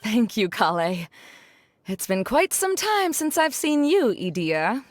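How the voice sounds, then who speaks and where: Game role: lively, somewhat high voice for a cool, blunt woman scholar who keeps it brisk. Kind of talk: game cutscene voice acting.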